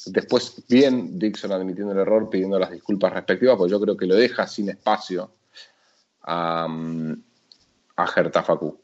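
A man with an Argentine accent and a fairly low voice chats casually about racing, animated and a bit slangy, with quick asides. The sound is dull and lo-fi. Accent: Argentine Spanish. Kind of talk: casual motorsport discussion.

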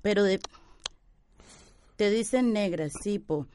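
A woman's voice: medium pitch, takes it slowly, warm tone, a little hiss or hum. A woman giving interview testimony about her everyday life. She speaks reflectively and circles back on the same phrases.

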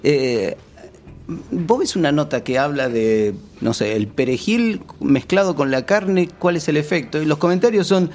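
A man with a Rioplatense Argentine accent in casual, anecdotal chat, halting as he searches for words, then emphatic and sweary. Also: on the high side, lively intonation, a little mellow. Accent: Rioplatense Argentine Spanish. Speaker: guest in a chat among friends.

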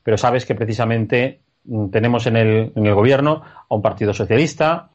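A man's voice: mid-range voice, moderate pace, darkish tone, dull audio. A man with a Peninsular Spanish accent interviewing a guest, talking at length with many fillers. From Spain. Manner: discursive, rambling, with fillers. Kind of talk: political interview.

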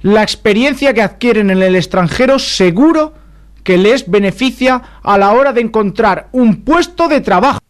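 A solemn male politician with a notably high voice delivers an official statement, acted by a man. It is a parody speech for radio comedy. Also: expressive, moderate pace.

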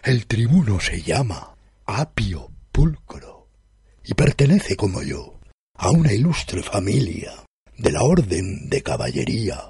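Male literary narrator: lowish, very husky, unhurried, formal theatrical delivery. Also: a little mellow, no room sound, studio quality. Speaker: narrator, reader. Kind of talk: literary story narration in first person.

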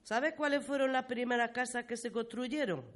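A woman's voice: a little high, normal speed, natural voice. Folksy Murcian grandmother storyteller, played by a woman. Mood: proud, fond. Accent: Murcian Spanish. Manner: folksy, exclamatory. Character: proud reminiscing village grandmother telling old stories. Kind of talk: radio play storytelling dialogue.

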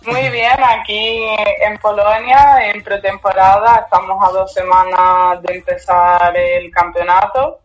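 A woman's voice: mid-range voice, little pitch movement, unhurried, few pauses. A young woman, born in Cuba and raised in Spain, answering interview questions in a chatty, casual way and laughing often. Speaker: guest volleyball player. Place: remote call from abroad. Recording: dull lo-fi remote line.

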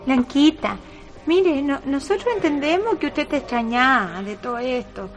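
A gentle, bereaved country mother voiced by an actress in a Chilean radio drama. Her voice is high, resigned and mournful, with a rural Chilean accent. Music plays behind her. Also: moderate pace, natural voice. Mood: earnest, resigned.

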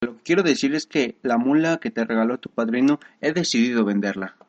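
A woman acts a practical, bossy father in a radio soap. The voice is low for a woman and matter-of-fact, used to giving orders. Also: animated, fairly quick, darkish tone, muffled recording. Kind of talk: Spanish-language radio soap acting.

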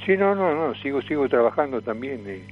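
An elderly man with a Rioplatense Argentine accent reminisces as a radio guest, rambling and halting with frequent fillers. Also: medium pitch, expressive, phone-quality sound, soft background music. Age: 70s or older. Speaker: guest, veteran cartoonist, impressionist and tango singer.

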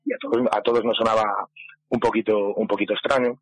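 Galician male interviewee, low husky voice, reflective, halting delivery. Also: flat delivery, no echo, poor audio. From Galicia, Spain. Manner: halting, searching for words. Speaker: actor being interviewed.

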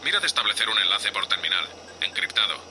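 A man playing an exasperated, sardonic spaceship captain in an audio drama, gruff and scolding. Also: fairly low pitch, big pitch swings, fast talker, pushed and bright, slight background noise, full character voice, poor audio. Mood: serious, weary. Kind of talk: science fiction audio drama acting.

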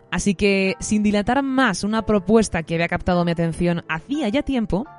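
A woman presenting a literary podcast in an expressive, effusive, semi-scripted way. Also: on the low side.

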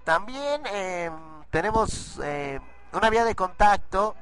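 A hoarse man, giving rambling live commentary on a school cultural event for the school radio. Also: high voice, slow, music in the background. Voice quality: husky. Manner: rambling, restarts often, excited bursts.

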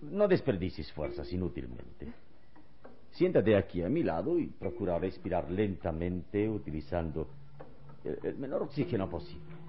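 An actor playing a serene, heroic man in a radio serial, speaking in a solemn, measured, dramatic way. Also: deep voice, big pitch swings. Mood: calm, consoling. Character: serene, heroic adult man consoling his young companion. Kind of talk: Spanish-language radio serial acting. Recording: dull old radio recording with music.

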